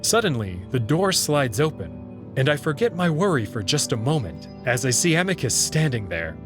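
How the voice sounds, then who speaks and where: A man narrating a visual novel read-through as its host, in an expressive, scripted storytelling style. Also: medium pitch, moderate pace. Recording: music behind the voice. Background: background music.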